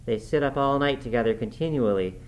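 A man's voice: mid-range voice, fairly quick, lightly acted, rough recording. A man voicing a plain-spoken, sensible housekeeper woman, earnest and cautioning, in a storybook reading. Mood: admonishing.

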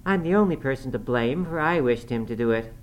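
A man voicing a bold, sharp-tongued young woman in an audiobook, pert and insistent. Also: medium pitch, moderate pace, voice pushed up, lightly acted. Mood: defensive, indignant. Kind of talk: audiobook character acting. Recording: clearly noisy recording.

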